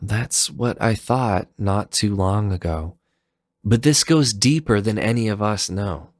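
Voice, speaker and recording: A man's voice: fairly low pitch, a little slow, no echo, studio quality, pitched above the performer's own voice, natural voice. A man voicing an anxious, grave young woman who leads a resistance in a dramatic fan fiction reading. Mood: grave, worried. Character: anxious female resistance leader, urgent and grave.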